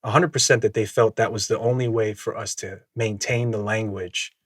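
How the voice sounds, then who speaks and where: Male podcast guest, Samoan first language, deep register, dry sound, reflective storyteller. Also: fairly even intonation, no room sound. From Minnesota, USA. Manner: reflective, measured, laughs often. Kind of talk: casual conversational storytelling.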